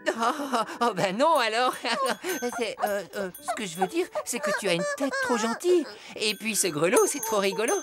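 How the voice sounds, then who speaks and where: A kind, playful young child in a cartoon. The voice is high and very lively in melody, with a gentle, chatty manner. Music plays behind. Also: female voice, normal speed. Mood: amused.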